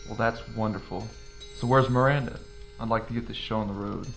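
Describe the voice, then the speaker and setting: A man's voice: fairly low pitch, fairly even intonation, brisk, vocal fry, fairly bright tone. A man playing a greedy, cynical middle-aged uncle in an audio drama, sardonic, impatient and irreverent. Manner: sardonic, dry. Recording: music behind the voice.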